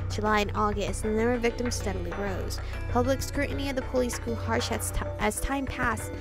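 Young woman streamer, higher voice, game music behind. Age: young adult. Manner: informal slangy asides. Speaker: streamer playing a visual novel. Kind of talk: casual let's-play, reading game text aloud with reactions. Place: indoor room, webcam setup.

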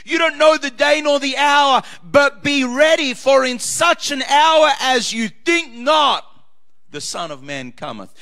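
Loud, passionate preaching pastor, bright and gravelly. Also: male voice, expressive, a little slow. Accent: Australian. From Australia. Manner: loud, swings between shouted climaxes and low quiet asides. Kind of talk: passionate preaching with scripture readings.

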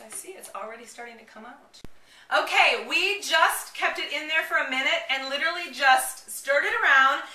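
High, very bright woman in echoey room. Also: a little hiss or hum. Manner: enthusiastic, animated, chatty with children. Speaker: mom blogger presenter. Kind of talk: casual product demonstration vlog. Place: home kitchen, indoors. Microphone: camera a few steps away. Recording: roomy, distant camera microphone.

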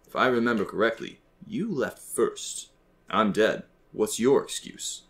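A man's voice: lowish voice, animated, a little slow, fairly bright tone. A man voicing the nonchalant, needling ghost of a dead father in audiobook dialogue, dry and unruffled. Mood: wry reproach.

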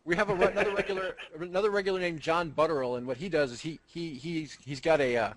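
A man's voice: fairly high pitch, steady tone, quick pace. A man hosting a casual group video call, rambling and joking with many restarts. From United States. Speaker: host.

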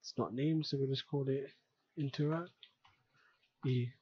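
A man giving an unscripted software tutorial in a casual way, thinking aloud step by step as he works. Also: mid-range voice, steady tone, unhurried, slightly rough, dry recording, lo-fi sound. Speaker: tutorial presenter. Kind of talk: unscripted screen-recorded software tutorial narration.

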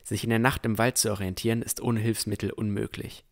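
Ein Mann spielt einen vorsichtigen jungen Entdecker, der sein Fantasy-Abenteuer bedacht und ernsthaft in der Ich-Form erzählt. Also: male voice, on the low side, flat delivery, a little fast, natural voice. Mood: wary. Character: cautious, thoughtful young male explorer narrating his adventure. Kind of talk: first-person scripted narration in fantasy audio drama.

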